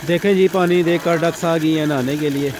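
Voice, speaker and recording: A man casually narrating a village vlog about his ducks, in a relaxed, chatty way. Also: on the high side, fairly quick, background noise. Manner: relaxed, chatty, says "ji" often. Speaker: vlogger. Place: outdoor village yard with ducks.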